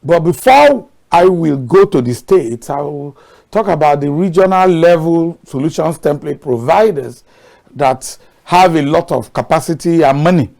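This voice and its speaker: A man's voice: fairly high pitch, animated, a little slow, vocal fry, darkish tone. A man with a Nigerian accent, speaking as a guest on a talk show in an emphatic, repetitive way with folksy phrasing.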